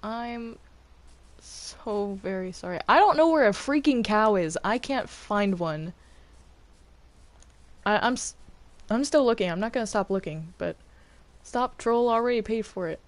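Slow-talking, hesitant woman gaming. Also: mid-range voice, lively intonation, dry recording. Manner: hesitant, stammering, apologetic. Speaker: gamer playing a multiplayer game. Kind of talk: casual in-game chat.

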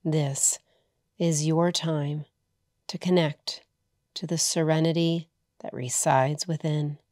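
A woman leading a guided meditation as a yoga nidra teacher, soothing and hushed, leaving long pauses between short phrases. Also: low-pitched, fairly even intonation, dry recording, studio quality.

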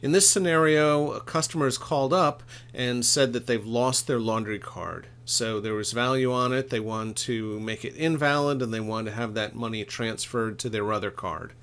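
A middle-aged man narrating a step-by-step software tutorial in an informal, explanatory way, thinking aloud as he goes. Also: mid-range voice, moderate pace, hardly any pauses. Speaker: laundry equipment expert, tutorial presenter.

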